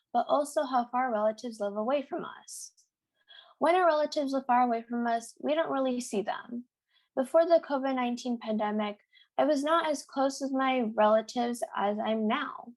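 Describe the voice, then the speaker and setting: A teenage girl co-hosting a youth podcast, reading her script in an earnest, steady way. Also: on the high side. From United States. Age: teens. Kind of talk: read-aloud podcast script.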